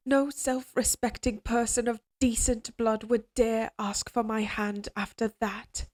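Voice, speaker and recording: Woman playing an evil queen, fairly high voice, formal, measured, imperious, possessive, obsessively devoted, audio drama roleplay. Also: darkish tone. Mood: imperious resolve.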